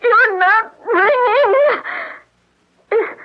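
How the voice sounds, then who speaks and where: A woman's voice: very high-pitched, drawn-out words, exaggerated voice. An actress playing a hysterical, claustrophobic woman in a radio drama, stammering, tearful and accusing, repeating herself in panic. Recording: dull, band-limited radio drama recording.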